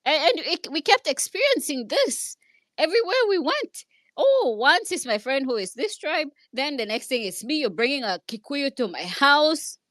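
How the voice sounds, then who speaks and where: Kenyan woman, kinda high bright voice, lots of restarts and stumbles, dry close sound. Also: big pitch swings, normal speed, no room sound. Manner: hesitant, with many restarts and repeated words; earnest, speaks from her own experience. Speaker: speaker in an online audio discussion. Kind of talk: personal storytelling in a casual discussion.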